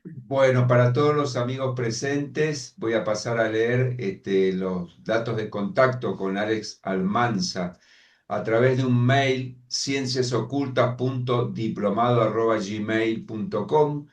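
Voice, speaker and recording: Man co-host, far off in an echoey room. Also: mid-range voice. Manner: measured, formal reading. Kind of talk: announcements and farewell. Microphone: video call. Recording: echoey room, distant mic.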